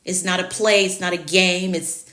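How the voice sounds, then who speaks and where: Bright-voiced Jamaican woman in her thirties. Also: on the low side, fairly even intonation, normal speed, reverberant. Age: 30s. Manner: chatty, direct, teacherly. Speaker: productivity coach. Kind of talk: casual live-stream talk.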